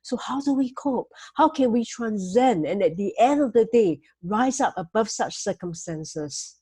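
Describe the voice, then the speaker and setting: Gentle woman, mellow and breathy. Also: on the high side, expressive, dry recording. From Singapore. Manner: gentle, measured, frequent "you know" fillers. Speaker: host giving weekly life tips. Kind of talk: motivational talk with slides.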